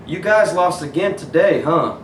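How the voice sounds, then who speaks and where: Man doing a kindly mom from a storybook, firm but reassuring, raised pitch, roomy echo. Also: lively intonation, moderate pace, lightly acted. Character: kindly, wise mother, gently firm and reassuring. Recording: roomy echo, distant mic, faint noise.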